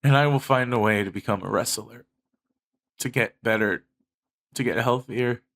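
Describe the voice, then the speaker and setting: A man's voice: medium pitch, normal speed, fairly bright tone. A man speaking earnestly and emphatically to his viewers in a personal monologue, swearing freely. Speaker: video creator addressing subscribers.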